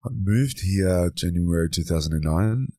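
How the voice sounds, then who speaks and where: A man, a guest on a podcast interview, talking in a relaxed way and often repeating words as he thinks. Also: sits low, moderate pace, dry recording, clean studio sound. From Australia. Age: 30s. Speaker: podcast guest, men's coach.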